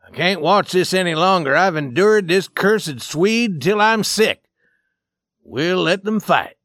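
A man voicing an exasperated old man in a story, loud and forceful as he gives orders. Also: high-pitched, expressive, takes it slowly, dry recording, clean studio sound, voice pushed up, exaggerated voice. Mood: fed up.

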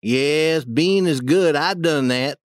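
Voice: mid-range voice, takes it slowly, no echo, studio quality, pitched above the performer's own voice, lightly acted. A narrator voicing an easygoing, folksy village boy who explains things plainly and confidently in a children's audiobook. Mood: confident, instructive.

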